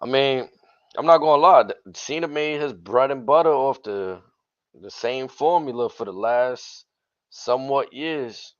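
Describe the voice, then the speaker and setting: Guy with a hoarse raspy voice chatting wrestling on a stream, slangy and chill, kinda muffled dry audio. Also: medium pitch, a little slow, no echo. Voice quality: slightly raspy. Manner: relaxed slangy banter, laughs easily. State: hoarse from yelling. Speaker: wrestling show co-host. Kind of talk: casual livestream chat.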